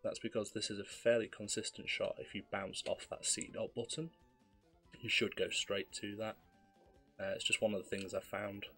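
Man, slightly hoarse, low-ish voice, relaxed live commentary, faint game music. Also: normal speed. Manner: relaxed, explanatory, self-deprecating. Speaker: speedrunner commentating his own run.

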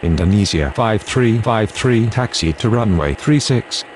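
A man's voice: lowish voice, lively intonation, unhurried. A man speaking scripted air traffic radio phraseology for a control game.

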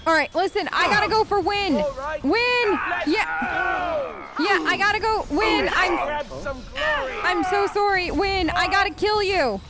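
A woman's voice: very high voice, takes it slowly, pauses often, loud music behind the voice. A woman streamer giving casual, playful gameplay commentary and talking back to the game's characters. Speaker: let's play streamer. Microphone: close, dry mic.

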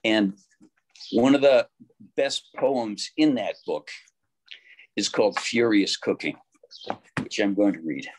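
A man's voice: on the low side, slow, pauses often, a little mellow, low-quality recording. A middle-aged man reading poetry aloud on a video call from a room at home. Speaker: poetry reader.

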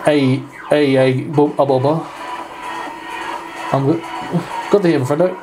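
A man's voice: on the high side, steady tone, measured pace. A man giving casual live reaction commentary, stammering and repeating his phrases. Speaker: reaction video host. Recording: music playing behind the voice.

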